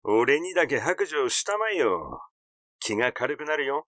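Man acting needling amateur sleuth, storybook dialogue, casual, mocking, insinuating, swooping pitch, dry recording. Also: very animated, measured pace, a bit bright, dry recording. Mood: coaxing, mocking. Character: blunt, teasing male amateur sleuth needling a doctor. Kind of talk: audiobook character dialogue.

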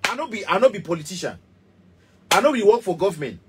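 A man's voice: sits high, big pitch swings, fast, a little hiss or hum. A man in his thirties with a Cameroonian Pidgin English accent, a musician speaking passionately and emphatically to camera from a small studio. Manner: passionate, emphatic, repetitive, gesturing. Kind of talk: heated direct-to-camera rant.

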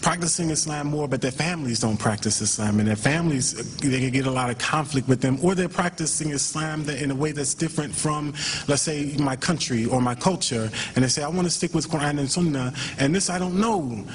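A man on a conference panel speaking earnestly and haltingly, often repeating words as he starts to share his story. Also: a little high. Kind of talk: personal testimony at a panel. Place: large auditorium stage at a conference. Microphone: table microphone. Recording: hall reverb with faint noise.

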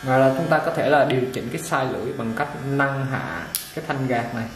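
Guy casually unboxing clippers, middle voice, lots of uh's, roomy echo, motor buzzing loud behind. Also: mid-range voice, fast. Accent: Vietnamese. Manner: relaxed, talks while handling the product, many fillers. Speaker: product reviewer for a barber supply shop. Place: indoor shop table with clipper boxes. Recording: echoey room with machine noise. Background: clipper motor buzz and clicks.

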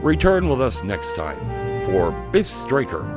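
A man's voice: fairly low pitch, huge pitch swings, slow, loud music behind the voice. A man announcing an adventure serial in a theatrical, suspenseful narrating style. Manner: dramatic, suspenseful.

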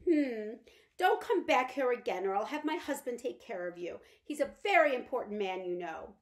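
A fragile, imperious invalid mother from a storybook, put on by a woman reading aloud. Her drawn-out, languid lines climb high and loud and then swing low again. She flares up shrilly and without warning. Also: big pitch swings. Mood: cold. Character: fragile, erratic, imperious wealthy mother, bedridden.

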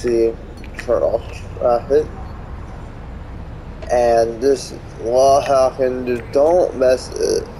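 A man giving casual gameplay commentary in halting, fragmented remarks. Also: medium pitch, fairly even intonation, warm tone, rough recording. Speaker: gamer commentating his play. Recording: clear background noise, game traffic. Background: game ambience and vehicle sounds.

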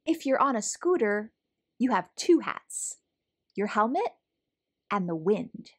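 Lady reading a kids picture book, very up and down expressive, slightly husky breathy voice. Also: medium pitch, slow. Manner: animated, clearly paced storytelling for young children. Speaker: children's read-aloud host.

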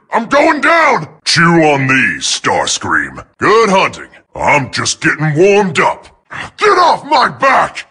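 A feisty robot transport plane in a game, high for a male voice and full of gravel. The delivery is gruff and battle-hardened, swinging widely in pitch. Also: bright, forceful tone, heavily acted. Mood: strained, desperate. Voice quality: gravelly, raspy. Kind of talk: video game battle voice clips.

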